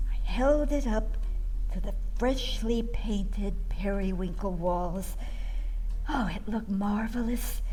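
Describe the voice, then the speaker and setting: A woman telling a story with wry, measured narration. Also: mid-range voice, warm tone, a little hiss or hum, poor audio. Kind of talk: storytelling performance.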